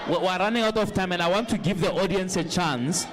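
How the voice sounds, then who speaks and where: A man's voice: high-pitched, quick pace. A man firmly moderating a live political debate. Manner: firm, calling for order. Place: public town hall event. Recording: noisy live hall recording.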